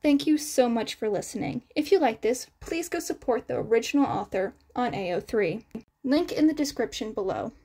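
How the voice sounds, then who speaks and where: A woman's voice: a little high, expressive, moderate pace, mellow, slightly rough. A woman reading scripted fan fiction narration as the narrator of an audio story. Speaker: podfic narrator.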